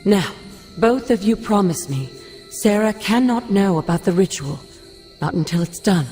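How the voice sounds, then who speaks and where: A woman plays the ghost of an older female mentor in a video game cutscene. She is stern yet caring, with formal, measured, commanding delivery at a medium pitch. Music plays behind her. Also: animated, warm tone, close to an everyday voice. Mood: instructing.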